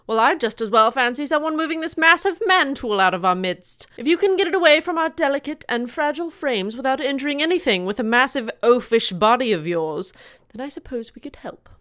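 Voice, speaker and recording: A woman's voice: sits high, expressive, fairly quick, fairly bright tone, voice pushed up. A woman voicing a snooty, delicate storybook flower with a haughty, fussy way of talking.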